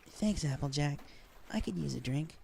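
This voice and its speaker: A man's voice: fairly high pitch, expressive, normal speed, gravelly, a little mellow, slightly rough, pitched above the performer's own voice, heavily acted. A man voicing a flustered, lovestruck young female pegasus pony who speaks earnestly and hesitantly in a story reading. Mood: grateful. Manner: hesitant, stammering. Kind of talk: fan fiction story reading.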